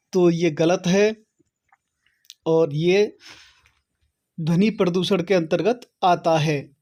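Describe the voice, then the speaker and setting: A man with a high-pitched voice gives an instructional talk for an online audience. He lays out procedures one step at a time, addressing viewers directly and punctuating his points with small confirmations. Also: darkish tone. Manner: step-by-step, repeatedly checking with "okay". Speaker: host of an online how-to channel. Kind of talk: explanatory tutorial talk to viewers.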